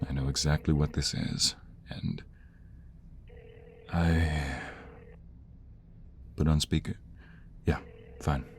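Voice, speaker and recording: Man acting as deep-voiced protective mobster lover. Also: flat delivery, slow, pauses often, slight background noise. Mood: calming down. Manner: soothing, intimate, earnest. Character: protective young mobster's son, tender lover, menacing when threatened. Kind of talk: ASMR roleplay audio drama acting.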